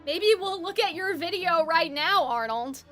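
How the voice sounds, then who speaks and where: A woman's voice: very high-pitched, expressive, a little slow, bright, forceful tone. A woman game streamer chatting casually, musing aloud in a rambling way over game music. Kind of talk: casual livestream chat. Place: livestream over a video game.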